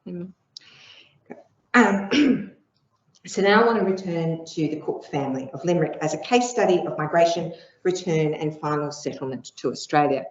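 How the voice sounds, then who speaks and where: A middle-aged woman giving an academic lecture with slides, measured and explanatory, pausing on ums. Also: deep voice. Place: lecture room. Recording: echoey room, dull lo-fi sound.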